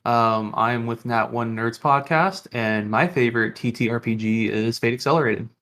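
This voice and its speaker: A man's voice: medium pitch, moderate pace, fairly bright tone. A man co-hosting a podcast, chatting casually in an enthusiastic, rambling way. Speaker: podcast co-host. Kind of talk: casual chat.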